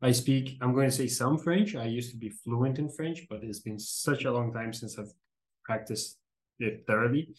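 A man from Brazil whose first language is Portuguese chats in quick, fluent English as a podcast guest. He talks in a relaxed way and often plays down his own skills. His voice sits at an ordinary pitch for a man. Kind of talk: casual interview conversation.

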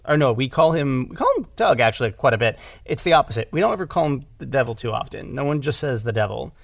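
A man's voice: mid-range voice, animated, fast, creaky, no echo, clean studio sound. A man talking casually as a podcast guest about his work as a writer and director, in a rambling way, repeating words for emphasis. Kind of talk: casual conversational discussion.

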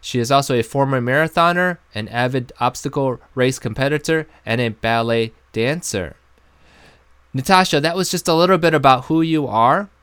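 A man hosting a podcast, reading upbeat promos and then asking relaxed interview questions. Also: medium pitch, moderate pace, clear, resonant tone, no room sound. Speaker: podcast host. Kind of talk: podcast intro and casual interview.

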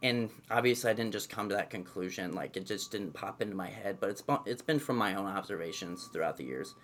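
A man in his twenties, a movement coach talking casually as an interview guest, relaxed and rambling with many fillers. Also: lowish voice, a little fast, a little hiss or hum. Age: 20s.

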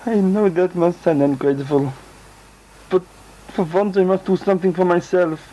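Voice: moderate pace, dark tone, lightly acted, poor audio. A performer voicing a worried, formal parent set on finding a lost daughter. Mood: determined. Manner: earnest, formal, lowered voice.

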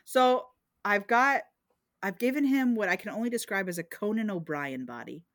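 A woman's voice: mid-range voice, expressive, normal speed, a bit bright, no echo, studio quality. A woman co-hosting a podcast and illustrating it, talking in a playful, jokey way. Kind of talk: casual chat.

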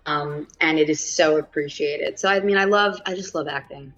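Chatty New York woman, low voice. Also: moderate pace, fairly bright tone. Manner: chatty, laughs often, many fillers. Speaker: actress guest. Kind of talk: casual interview chat. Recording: muffled lo-fi audio.

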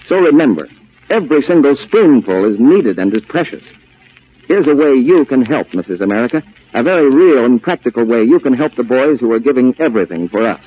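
Male 1940s radio announcer, deliberate and earnest scripted appeal, muffled old recording. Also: medium pitch, very animated, breathy edge.

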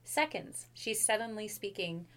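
A woman's voice: mid-range voice, lively intonation, unhurried. A woman co-hosting a casual chat, chiming in and finishing her guest's thoughts.

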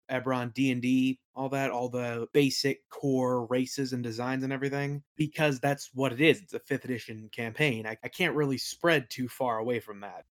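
A man being interviewed as a podcast guest, talking informally with dry, self-deprecating humour. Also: medium pitch. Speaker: podcast guest, game master and worldbuilder. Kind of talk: casual interview conversation.

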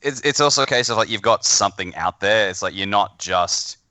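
A low, bright male voice with an Australian accent, recorded close and dry. He chats casually as a guest, thinking aloud with hesitant pauses. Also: no room sound. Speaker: podcast guest. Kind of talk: casual chat.

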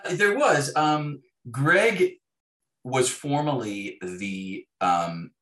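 A man's voice: mid-range voice, expressive, drawn-out words, fairly bright tone. A man speaking as an author in a casual, reflective interview, with a wry, self-deprecating manner. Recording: echoey room.

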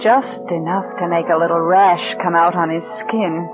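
An American actress playing a cold, calculating murderess who passes as meek, in controlled, deliberate mid-century radio suspense style. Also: female voice, fairly low pitch, fairly even intonation, music in the background, lightly acted. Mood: cold, confiding. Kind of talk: 1940s-50s American radio suspense acting and narration. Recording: muffled vintage radio recording.

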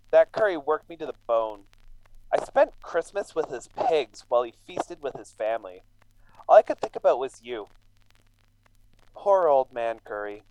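A man's voice: fairly high pitch, a little mellow, highs cut off. A man acting in a radio drama as a confused, remorseful, injured immigrant farmhand who falters and stammers. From England.

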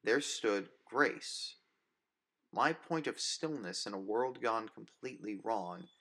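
Man, story narrator, lowish pitch, gravelly fry, dramatic, scripted first-person reading. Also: animated, takes it slowly, sharp tone.

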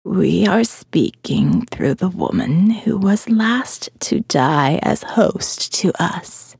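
A woman voicing a reanimated female corpse in an audio drama, breathy and halting, with solemn, formal courtesy. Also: on the low side, big pitch swings, darkish tone, dry recording, studio quality, pitched below the performer's own voice, exaggerated voice. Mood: grave, calm. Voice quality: breathy, whispery, creaking. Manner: slow, halting, stiff-lipped, formal.

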